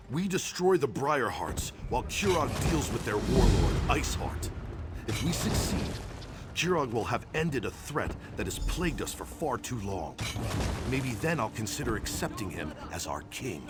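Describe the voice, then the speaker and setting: An actor playing a proud, grimly skeptical orc warrior in a video game, telling of war in a measured, matter-of-fact way. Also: male voice, medium pitch. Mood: grim resolve. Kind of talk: video game character dialogue. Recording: loud battlefield noise behind the voice. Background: battle ambience with artillery.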